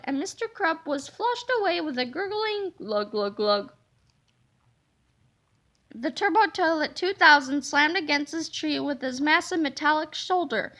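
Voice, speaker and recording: A woman storyteller reading a children's book aloud in an expressive, dramatic way. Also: high-pitched.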